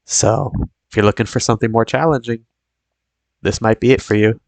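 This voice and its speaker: A man's voice: on the low side, steady tone, brisk, gravelly, no room sound. Congested young man chatting on stream. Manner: rambling, restarts, many fillers. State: congested, sniffly from a cold. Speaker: live-stream host. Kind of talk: casual live-stream reaction chat.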